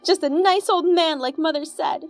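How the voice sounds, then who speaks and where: A woman acts a little girl in a radio drama, her voice extremely high and childlike. She is earnest and plaintive, a doubting daughter who wants to hope, with faint music behind her. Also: moderate pace. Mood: disappointed, doubting. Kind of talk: radio play acting.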